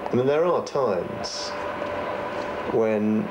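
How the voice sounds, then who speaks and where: A man giving a reflective documentary interview, speaking haltingly with pauses in the middle of his phrases. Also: on the low side, very noisy. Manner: halting, pausing mid-phrase.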